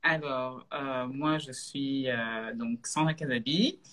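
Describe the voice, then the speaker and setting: A woman in her thirties from Belgium, a guest on a live video chat, talking casually with many pauses and restarts. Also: extremely low pitch, a bit bright. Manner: hesitant, many fillers and restarts. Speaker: guest, entrepreneur. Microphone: video call.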